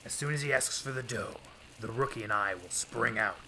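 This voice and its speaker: Gravelly, hard-boiled police detective played by a man. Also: mid-range voice, a little fast, pushed and bright, a little hiss or hum. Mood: businesslike. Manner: hard-boiled, clipped cop talk. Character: tough, seasoned male police detective, old-time crime serial. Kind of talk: 1930s radio drama acting.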